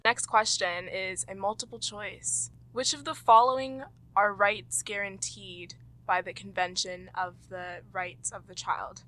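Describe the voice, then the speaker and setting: A woman with a Canadian accent and a bright, forward voice at an average pitch. She speaks as a host, presenting to listeners and guiding a group. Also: low-quality recording. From Toronto, Canada. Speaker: host and quizmaster. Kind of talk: presenting a quiz and leading a group discussion.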